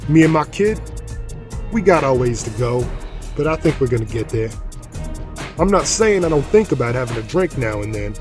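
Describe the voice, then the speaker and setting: Man playing middle-aged gang enforcer, reformed drinker, folksy swagger, mid-pitched voice, faint music behind, audio drama. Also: big pitch swings, normal speed, long pauses, a little mellow, close to an everyday voice. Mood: proud, hopeful.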